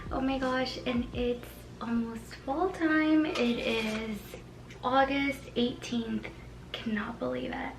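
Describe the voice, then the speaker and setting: A woman in her twenties chatting excitedly in a casual beauty haul vlog, gushing and giggly. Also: on the high side, slow pace. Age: 20s. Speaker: beauty vlogger. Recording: echoey room with background music.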